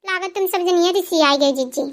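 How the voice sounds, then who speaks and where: A woman voicing gossipy, teasing village women in Awadhi-accented cartoon comedy, folksy and nagging, with an artificially pitched-up voice. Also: fairly quick. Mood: gossipy grumbling.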